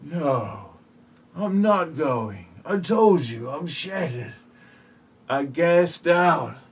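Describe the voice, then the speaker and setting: A man plays a worn-out traveller who stubbornly refuses to go on in a comic audio drama. The voice is husky and breathy, dragging very slowly through each whining line. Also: on the high side, lively intonation, heavily acted. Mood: weary refusal. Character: exhausted, whining, stubborn traveller giving up in the desert.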